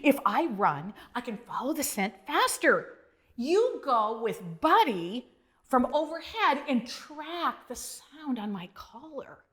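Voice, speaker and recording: A woman's voice: high voice, very animated, takes it slowly, full character voice. A woman voicing an eager, good-hearted male rescue dog in a children's storybook, with a howling, exclaiming delivery. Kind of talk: children's storybook character reading.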